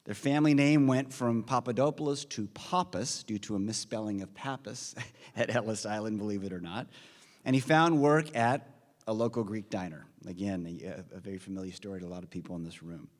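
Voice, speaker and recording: A man giving an earnest, prepared introduction of a guest speaker at a conference forum, in a measured delivery. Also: on the low side. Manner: earnest, measured delivery, reads off names and figures. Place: large conference hall. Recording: long reverb of a large hall.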